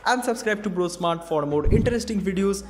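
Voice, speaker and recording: High-voiced Indian tech reviewer. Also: male voice, lively intonation. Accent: Indian English. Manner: clear, measured explanatory delivery. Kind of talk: scripted product review voice-over. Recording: faint background music.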